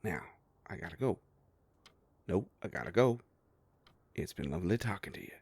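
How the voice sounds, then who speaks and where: A man playing a sardonic, grumbling werewolf private investigator with a soft heart, in an audio roleplay drama. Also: animated, normal speed, very noisy. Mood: exasperated, defensive. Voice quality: low gravelly voice.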